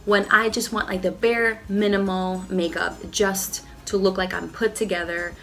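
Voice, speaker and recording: Nasal, rough-voiced woman with a cold. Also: medium pitch, fairly even intonation, measured pace, a bit bright, soft background music. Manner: chatty, lots of "like" and "you know". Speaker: beauty vlogger. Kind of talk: casual makeup tutorial. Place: home.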